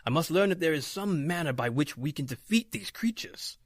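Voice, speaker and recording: A man playing a grave, authoritative occult doctor in an old-time radio horror drama, speaking emphatically and deliberately. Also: fairly high pitch, lively intonation, dry recording, clean studio sound. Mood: grave, urgent. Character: grave, authoritative male doctor battling the supernatural.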